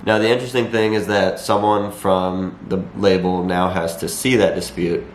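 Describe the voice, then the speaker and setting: Young guy vlogging with a cold, low kinda raspy voice, pretty flat delivery, explaining stuff. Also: normal speed. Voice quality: slightly raspy. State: has a cold. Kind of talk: talking to camera, explanatory vlog. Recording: echoey room, faint background noise.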